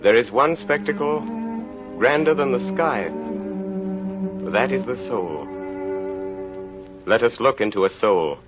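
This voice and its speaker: Casting: solemn storyteller for old radio drama, oratorical, mid-pitched, muffled recording with clear musical underscore. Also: male voice, animated, slow, pauses often, music in the background. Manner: grave, oratorical. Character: solemn literary narrator of a tragic tale. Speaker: narrator. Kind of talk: scripted narration, vintage radio drama.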